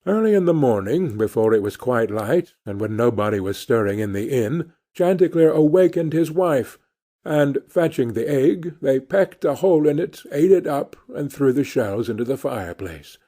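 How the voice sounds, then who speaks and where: A man's voice: medium pitch, animated, moderate pace, dark tone. A man reading a fairy tale aloud as scripted storybook narration. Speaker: audiobook reader.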